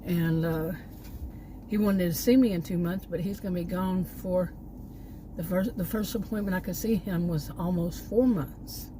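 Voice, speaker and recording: Middle-aged Texan woman, lower voice, rambling outdoor vlog chat, clear background noise. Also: fairly even intonation, moderate pace. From Texas. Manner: meandering, repeats words, trails off. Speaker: vlogger. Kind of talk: casual rambling chat to camera. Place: outdoors near a park.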